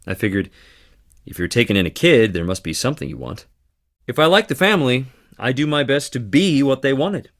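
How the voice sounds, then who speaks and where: A guarded young man from an adventure game, a theatre type with a wry, conversational way of talking. His pitch is mid-range and his intonation moves around a lot. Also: very animated, a little fast, a bit bright. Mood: guarded, reflective. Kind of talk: scripted adventure game dialogue.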